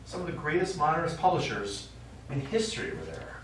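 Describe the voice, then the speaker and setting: An actor playing a stubborn old American war veteran and father, telling his memories haltingly in a stage play. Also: on the high side, sing-song, fairly bright tone. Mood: wistful reminiscing. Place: small theater stage, recorded from the audience. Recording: distant, very echoey theater recording, faint noise.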